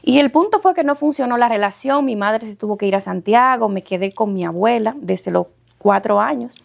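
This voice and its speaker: A middle-aged woman with a Dominican accent, the guest of an interview podcast, telling her life story in a lively way with frequent laughter. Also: on the high side, brisk, creaky. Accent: Dominican Spanish. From Dominican Republic. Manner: warm, animated storyteller, laughs often.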